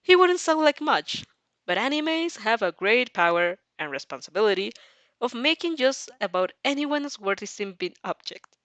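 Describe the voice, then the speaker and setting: Animated woman reading a story aloud. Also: on the high side, normal speed, no echo. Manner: animated, breaks off to react and restart sentences. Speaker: fan fiction reader and reactor. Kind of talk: expressive audio reading of fan fiction with casual asides.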